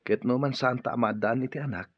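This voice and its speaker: A man's voice: mid-range voice, steady tone, fast, husky, dry recording, highs cut off, close to an everyday voice. A man playing a loving, devoted husband who speaks tenderly in an Ilocano radio drama.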